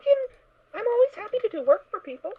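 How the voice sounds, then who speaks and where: A woman with an extremely high, girlish voice chats casually, like a voice actor among colleagues. Her line is tinny and phone-like, as on an online voice call. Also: big pitch swings, quick pace. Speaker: voice actor and audiobook narrator. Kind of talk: casual chat.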